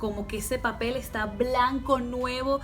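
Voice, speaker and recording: A young woman vlogging casually to camera, talking in a relaxed, chatty way and laughing now and then. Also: a little high, measured pace, faint music behind. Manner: chatty, laughs often, mixes in English. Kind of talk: casual vlog talk to camera.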